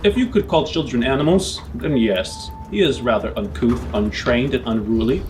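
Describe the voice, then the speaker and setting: A man narrating an improvised tabletop roleplay as game master, with measured, formal, descriptive phrasing. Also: medium pitch, a little mellow, faint music behind.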